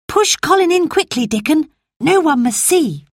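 A woman voicing a lively young girl in a storybook reading, high and urgent. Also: normal speed, no room sound, studio quality. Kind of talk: storybook character voice.

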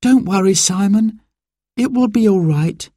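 Man putting on a high, bright young girl. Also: a little slow, dry recording, studio quality. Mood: comforting. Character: young girl Sarah, cheerful, caring, excitable. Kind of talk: children's story dialogue.

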